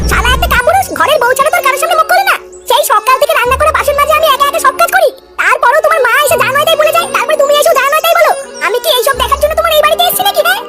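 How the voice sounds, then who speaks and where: A woman's voice: extremely high pitch, rapid-fire, raised voice, music in the background. A woman voicing a resentful young housewife in a comic cartoon, scolding with heavy sarcasm.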